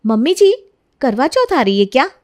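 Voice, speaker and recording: A woman's voice: high voice, very animated, moderate pace, darkish tone, voice pushed up. A woman voicing an eager young newlywed daughter-in-law, sweet and coaxing, in an acted storybook tale. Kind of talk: acted Hindi storybook narration.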